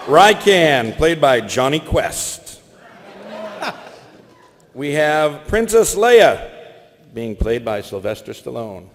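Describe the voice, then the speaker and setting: A man casually hosting a live voice-acting panel on stage and directing the performers. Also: on the high side. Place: large convention hall on stage. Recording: echoey, noisy convention hall with crowd.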